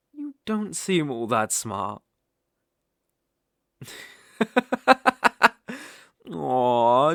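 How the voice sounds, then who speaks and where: Casting: male actor as possessive British dark elf master for ASMR roleplay; unhurried, condescending, coaxing, widely swooping intonation. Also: medium pitch, no room sound, studio quality, exaggerated voice. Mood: mocking, teasing. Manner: teasing, condescending, coaxing, with soft chuckles.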